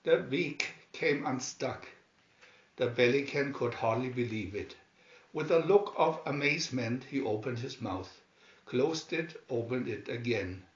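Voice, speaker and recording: A man reading a children's story aloud in a measured, expressive, scripted narration. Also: medium pitch, roomy sound, lo-fi sound. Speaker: storybook reader.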